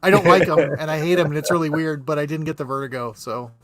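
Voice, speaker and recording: A man's voice: on the high side, steady tone, fast, crowd noise. A man in his fifties telling rambling, joking stories in a casual chat, often trailing off. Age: 50s. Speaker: guest.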